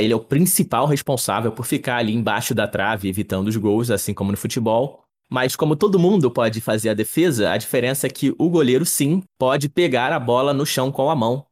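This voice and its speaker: A young man co-hosting a podcast, explaining sports history in a relaxed, chatty way, often adding little tag questions. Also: mid-range voice. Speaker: podcast co-host. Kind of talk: casual explanatory chat.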